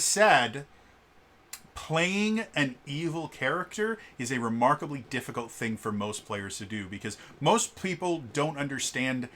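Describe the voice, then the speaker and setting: A man with a deep voice giving a casual solo review of a tabletop game book, often stuttering on the first sound of a word between fillers. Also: pushed and bright. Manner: stuttering restarts on words, many fillers.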